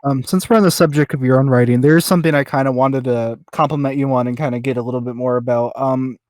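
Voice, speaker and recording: A man interviewing casually as a podcast host and fan, speaking in a hesitant, halting way with many ums. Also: medium pitch, fairly even intonation, darkish tone, no room sound, clean studio sound.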